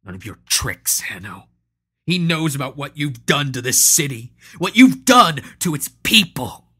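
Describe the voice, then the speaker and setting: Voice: medium pitch, big pitch swings, measured pace, sharp tone, husky, voice pushed up, heavily acted. A storyteller voicing a confident, defiant male master who spits back bitter retorts. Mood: accusing.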